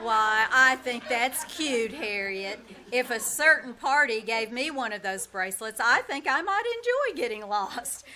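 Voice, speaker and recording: A woman playing a wry, quick-witted schoolteacher who delivers dry quips in old-time radio comedy style on a live stage. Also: on the high side, expressive, pushed and bright. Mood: dry, sly. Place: live stage in a small-town theater. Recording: faint noise, live small theater stage.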